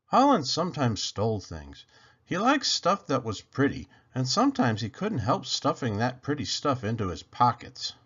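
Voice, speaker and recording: A man's voice: medium pitch, wildly swooping pitch, normal speed, a bit bright, breathy edge. A man reading a children's picture book aloud expressively, with dramatic emphasis and questions put to the listeners. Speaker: storyteller reading picture books aloud, channel host. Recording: dull lo-fi sound.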